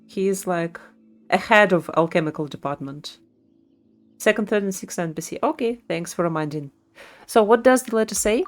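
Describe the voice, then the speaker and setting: A woman's voice: mid-range voice, moderate pace, soft background music. A woman streaming a game with casual live reaction commentary.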